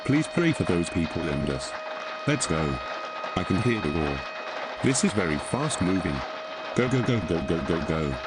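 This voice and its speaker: Man playing a deep-voiced storm reporter. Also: very animated, moderate pace. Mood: alarmed. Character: alarmed male TV storm reporter covering tornado damage. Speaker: weather broadcaster. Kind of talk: live breaking storm coverage. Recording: clear background noise.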